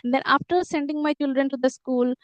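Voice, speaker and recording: A woman's voice: sits high, steady tone, fast, no room sound. A middle-aged woman, explaining earnestly as a teacher and repeating herself to make her points. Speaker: English teacher. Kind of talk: conversational English lesson dialogue.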